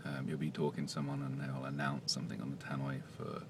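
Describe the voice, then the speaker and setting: A man who writes comics, talking quietly and hesitantly in a casual vlog monologue, with many pauses and fillers. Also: a bass voice, flat delivery, gravelly, background noise.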